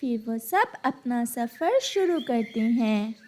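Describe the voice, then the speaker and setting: A woman's voice: fairly high pitch, expressive, takes it slowly, darkish tone, a little hiss or hum. A woman reading scripted narration for a children's story as the storyteller.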